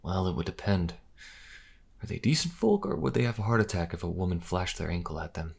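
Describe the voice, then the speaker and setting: Flirtatious fantasy priest played by a man, deep and rough-edged, playful and dry, for audio drama. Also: lively intonation, fairly quick, exaggerated voice. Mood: teasing. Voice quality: husky, gravelly.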